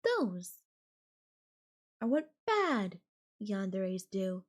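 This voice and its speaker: Woman playing a possessive yandere girlfriend, unhurried pace, mid-pitched, coy and playfully menacing, casual intimate audio roleplay. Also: big pitch swings, exaggerated voice. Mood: smug, sweet. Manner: coy, drawn-out, casual filler-laden phrasing with giggles and sighs. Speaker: voice actor.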